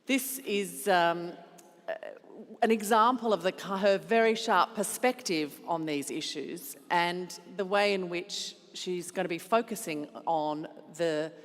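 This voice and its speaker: A woman chairing a festival session, introducing the speaker in a measured way with small restarts. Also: on the low side, long reverb tail. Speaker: event chair.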